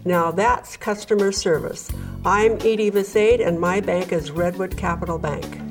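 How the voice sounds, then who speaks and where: A woman giving a scripted customer testimonial in a radio ad, with a bright, upbeat delivery. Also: on the low side, measured pace. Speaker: bank customer in an ad. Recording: radio ad with clear music bed. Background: music bed.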